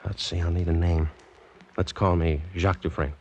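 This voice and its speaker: Man acting as tough american army lieutenant, very deep voice, clipped, old noisy radio show. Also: flat delivery, moderate pace, a little mellow, lo-fi sound, close to an everyday voice. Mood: firm, calculating. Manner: clipped, hard-boiled, commanding. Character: tough, wry American lieutenant leading French resistance fighters. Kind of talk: 1940s radio drama acting and narration. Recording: noisy 1940s radio broadcast.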